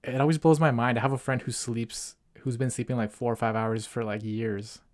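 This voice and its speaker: A man from Ecuador hosting a podcast, talking in a relaxed, rambling way full of fillers. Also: mid-range voice, brisk. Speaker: podcast host. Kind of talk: casual podcast interview.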